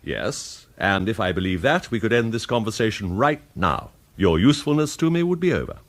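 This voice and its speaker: An actor playing a coldly menacing villain in a radio thriller, with an English accent and a polite, formal, unhurried, condescending manner. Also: male voice, fairly low pitch, sing-song, no room sound. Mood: cool interrogation. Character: courteous, coldly menacing male villain in command.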